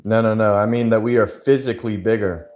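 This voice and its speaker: A man's voice: lowish voice, fairly even intonation, fairly quick, darkish tone. A man livestreaming and answering chat in a loose, rambling way, full of fillers. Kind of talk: casual chat.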